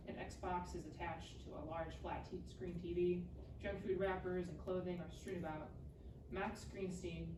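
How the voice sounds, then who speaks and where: A woman reading scripted scene directions aloud in clipped phrases at a live table read. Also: on the low side. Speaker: stage-direction reader. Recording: echoey room, distant mic, background noise.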